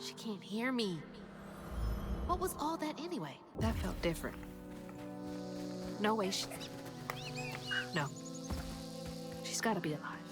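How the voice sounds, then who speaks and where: Young game heroine, anxious and spirited, pitch all over, loud music behind. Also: female voice, a little slow, long pauses. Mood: worried, puzzled. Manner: expressive, talking to herself. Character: spirited, anxious young woman searching for her family. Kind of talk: video game cutscene acting.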